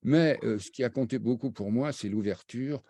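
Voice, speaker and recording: An older man, raised between Brazil and France, recalling his path as an interview guest in a halting way, with many fillers and restarts. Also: medium pitch, mellow, no room sound. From raised half in Brazil, half in France. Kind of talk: hesitant spoken recollection.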